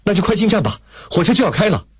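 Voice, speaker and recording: A man's voice: medium pitch, huge pitch swings, fast, slightly rough, dry recording, voice pushed up, full character voice. A man voicing an earnest, eager young male soldier in an audiobook story, speaking with urgent exclamations. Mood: eager, helpful.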